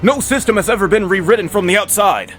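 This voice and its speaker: A man's voice: high voice, quick pace, fairly bright tone, soft background music. A man voicing a megalomaniac mad scientist villain who lectures in a grandiose, sneering way, for a fan comic dub. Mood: scornful lecturing.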